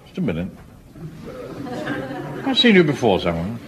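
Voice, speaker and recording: A pompous English Home Guard captain. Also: male voice, mid-range voice, huge pitch swings, moderate pace, a bit bright, some chatter behind, rough recording. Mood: self-important. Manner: pompous, self-important, blustering. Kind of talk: 1970s radio sitcom acting. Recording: old radio broadcast, audience laughter, hiss.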